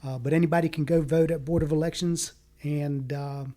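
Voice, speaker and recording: Higher-pitched man, halting and earnest. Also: fairly even intonation, dark tone. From Fayetteville, North Carolina. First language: Greek. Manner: earnest, halting, many fillers. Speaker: city council candidate. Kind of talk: casual interview talk.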